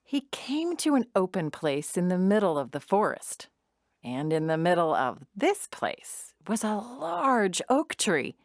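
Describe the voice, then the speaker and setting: A woman narrating a scripted children's story in an expressive, measured storytelling manner. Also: on the low side, dry recording.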